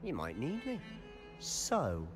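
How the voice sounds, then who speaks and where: An actor voicing a sly, cocky teenage wizard in a video game, confident and conspiratorial, with a British accent. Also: male voice, medium pitch, huge pitch swings, slow, music in the background. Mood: teasing, curious. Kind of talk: scripted video game acting.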